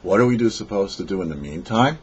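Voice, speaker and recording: A man delivering a scripted religious teaching as a rabbi, in a measured, emphatic way with rhetorical questions. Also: deep voice, expressive, husky. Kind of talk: scripted sermon read for a radio show. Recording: dull, lo-fi audio.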